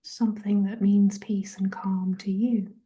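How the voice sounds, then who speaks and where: A woman leading a guided meditation in a soft, gentle, breathy half-whisper, giving soothing body scan instructions. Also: medium pitch, level tone, unhurried. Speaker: guided relaxation and meditation host.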